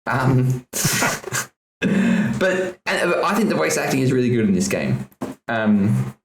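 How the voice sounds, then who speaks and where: A man riffs through a casual podcast chat, cursing freely and laughing between his rants. His voice sits at an ordinary middle pitch. The mic picks up a noticeably echoey room around him. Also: slow, gravelly, background noise. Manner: swears often, rants with laughter. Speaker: podcast co-host.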